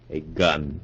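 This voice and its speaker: An actor playing a suave, heartless villain with a condescending, unruffled manner in a 1940s radio melodrama. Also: male voice, a bass voice, a bit bright, full character voice. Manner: smooth-talking, condescending, unruffled. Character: suave, heartless male villain, coolly mocking. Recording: dull 1940s radio recording.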